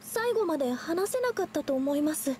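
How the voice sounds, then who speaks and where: A woman playing a shy, earnest young woman painter in a Japanese anime game, softly hesitant and polite. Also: high-pitched, lively intonation, normal speed, a little hiss or hum. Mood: shy, grateful.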